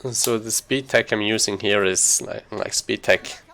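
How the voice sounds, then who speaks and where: Low-voiced man casually commentating a speedrun. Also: fairly even intonation, moderate pace, creaky, pushed and bright, faint music behind. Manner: rambling, informal, thinking aloud while playing. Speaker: speedrunner streaming a video game. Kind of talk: casual live gaming commentary.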